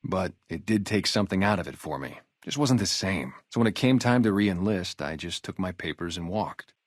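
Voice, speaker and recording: A man's voice: low voice, animated, normal speed, dry recording, clean studio sound. A man acting a video game character, a guarded, loyal ex-gang sniper on town security, talking in a casual, streetwise way. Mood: bitter, reflective. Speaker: security guard and former soldier.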